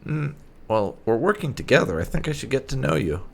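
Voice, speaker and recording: A man hosting a gaming video, giving casual, wry playthrough commentary with some light roleplay in a gravelly voice. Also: mid-range voice, big pitch swings, fairly quick, a little hiss or hum. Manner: wry, sarcastic. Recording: game music behind. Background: game music.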